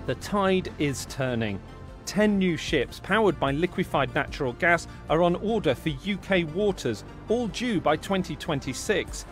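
A man narrating a news report as the reporter, inquisitive and lively. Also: fairly high pitch, a little slow, over music.

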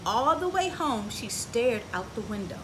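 A middle-aged woman with a fairly high voice reads a children's story in a lively, swooping way. She pauses to put questions to her young audience. She is recorded outdoors on a porch, with noticeable ambient noise. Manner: expressive, engaging children with frequent questions. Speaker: story time host. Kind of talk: picture book read-aloud for children with asides and questions.

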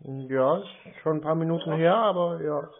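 A man's voice: a little high, normal speed. A man chatting casually on the phone in a hesitant, rambling, naively helpful manner. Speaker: prank caller answering scam calls. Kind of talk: casual phone conversation, playing a naive customer. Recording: tinny phone-like audio with faint noise.

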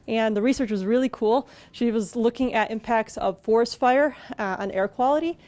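A woman's voice: a little high, moderate pace, darkish tone. A woman, a scientist, introduces the next speaker at a public talk in a chatty way full of fillers, using a handheld mic in a store event room. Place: event room at a store with a small seated audience.

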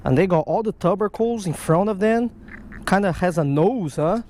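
A man giving casual, excitable running commentary while fishing outdoors at a creek, talking to the fish and his viewers, recorded on a chest-mounted action camera. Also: sits high, moderate pace, slight background noise. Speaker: fishing vlogger. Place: outdoors by a creek under a stone bridge.

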